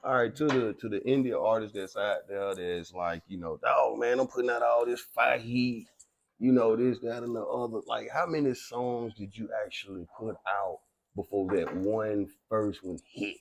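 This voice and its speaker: A man's voice: lowish voice, moderate pace, gravelly. A middle-aged man hosting a casual interview, slangy and given to short affirmations. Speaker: podcast host, interviewer.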